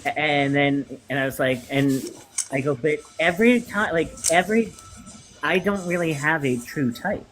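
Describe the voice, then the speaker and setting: A man hosting a casual live-stream chat, speaking in a halting way with many pauses and ums. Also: fairly high pitch, fairly bright tone, faint music behind, low-quality recording.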